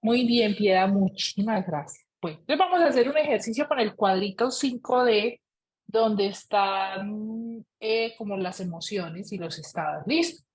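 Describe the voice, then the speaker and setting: A woman facilitating an online reading club, calmly giving instructions for a writing exercise and encouraging participants. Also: medium pitch, measured pace, slightly rough, poor audio. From Colombia. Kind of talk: guiding a group writing exercise.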